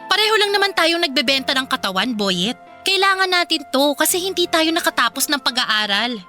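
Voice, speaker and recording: A woman's voice: sits high, very animated, fairly quick, bright, forceful tone, exaggerated voice. An actress playing a brash, streetwise young Filipina girlfriend in a radio drama, assertive and exasperated. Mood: insistent. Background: faint music.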